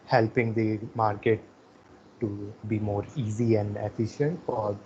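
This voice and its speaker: A man's voice: on the low side, fairly even intonation, measured pace, a little mellow. A man hosting an informal webinar interview, asking questions in a hesitant way and restarting his phrases. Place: online webinar call. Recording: muffled, noisy online call.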